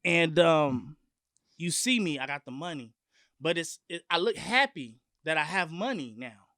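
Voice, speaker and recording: High-voiced man from Long Beach. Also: a little slow, dry recording, clean studio sound. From Long Beach, California. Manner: animated, profane and emphatic. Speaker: guest music artist. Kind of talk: casual sit-down interview.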